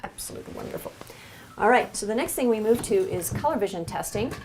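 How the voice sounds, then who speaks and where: A woman's voice: medium pitch, expressive, fast talker, a little mellow, slight background noise, low-quality recording. A woman clinician giving an instructional demonstration of an eye exam, encouraging the patient as she explains each step.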